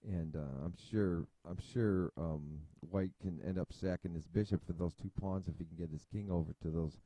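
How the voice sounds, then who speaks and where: A man's voice: low voice, normal speed, warm tone. A man hosting a casual chess analysis video, thinking aloud at his computer with many fillers and restarts. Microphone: desk mic at a computer.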